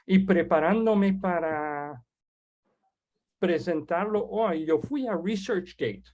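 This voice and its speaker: A man whose first language is French, hosting an online webinar and introducing a guest speaker in a halting, casual way with many restarts. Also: high-pitched, a little mellow. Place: online video call.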